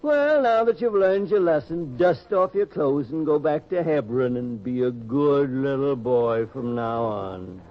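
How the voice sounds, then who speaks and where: An actor playing a wry, blunt, world-weary street scavenger with a kind streak, in a theatrical old-time radio style. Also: male voice, medium pitch, a little slow, few pauses, lightly acted. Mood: gruffly sympathetic. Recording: dull lo-fi radio-style recording.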